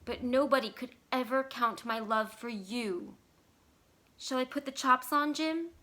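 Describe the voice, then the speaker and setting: A woman's voice: sits high, measured pace, pushed and bright. A woman voicing an eager, pleading, tender young wife in a dramatized short story reading, with a gushing, emphatic manner.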